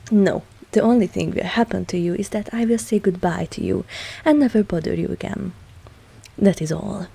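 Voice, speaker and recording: A woman playing a seductive, possessive vampire mistress in an intimate ASMR audio roleplay, breathy and often whispering, teasing and commanding. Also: mid-range voice, warm tone. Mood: amused, dismissive. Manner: slow, teasing, dominant, with pet names.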